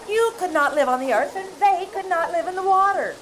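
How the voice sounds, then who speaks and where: A woman's voice: extremely high pitch, a little fast, a bit bright. A woman playing a cautious, bossy older mermaid sister in old-time radio drama style, with an earnest, emphatic delivery. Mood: cautioning. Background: music.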